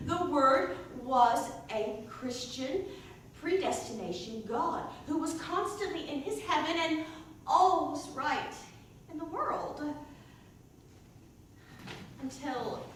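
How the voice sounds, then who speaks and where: A very echoey, distant recording of a woman acting as a wry churchgoing mother full of doubt. She delivers a theatrical comic monologue in a high, animated voice, halting and wandering off on digressions. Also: heavily acted. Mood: wry, nostalgic. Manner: rambling, halting, digressive, self-interrupting. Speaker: actor performing a monologue. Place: indoor staged reading in a reverberant room. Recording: echoey room, distant mic, faint noise.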